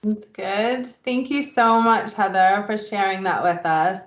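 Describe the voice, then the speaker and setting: A woman's voice: medium pitch, unhurried. A woman hosting a webinar on a video call, thanking speakers and handing over with fillers. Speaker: webinar host. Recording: roomy echo.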